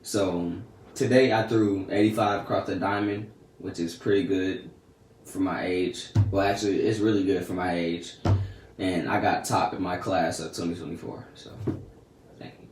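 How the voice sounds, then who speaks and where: A man's voice: low voice, fairly even intonation, normal speed, a little mellow, echoey room, far from the mic, a little hiss or hum. A teenage boy telling a story to camera in a casual, slangy, chatty way. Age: teens. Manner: laid-back, slangy and chatty. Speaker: vlogger.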